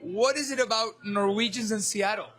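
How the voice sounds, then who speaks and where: A man working as a field reporter, interviewing fans in a restaurant with upbeat, quick follow-up questions. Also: high-pitched, a bit bright. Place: restaurant or bar.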